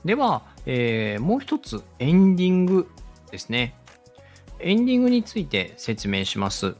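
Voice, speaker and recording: Guy hosting a how-to podcast, polite and instructive, really animated pitch, faint music under him. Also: moderate pace. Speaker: podcast host and radio director teaching podcast making. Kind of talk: explanatory teaching talk.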